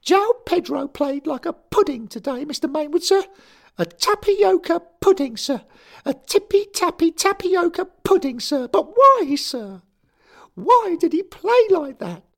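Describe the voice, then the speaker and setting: A man voicing a sulky, furious 13-year-old boy ghost who shouts shrilly and calls everyone sir. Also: extremely high pitch, sing-song, measured pace, vocal fry, voice pushed up, heavily acted. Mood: furious whining.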